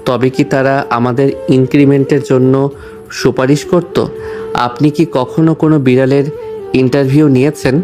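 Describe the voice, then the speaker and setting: A man's voice: medium pitch, little pitch movement, moderate pace, music in the background, close to an everyday voice. A man playing a flustered, polite young male office employee in an audio romance story. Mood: earnest rambling. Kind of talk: audio story dramatized acting.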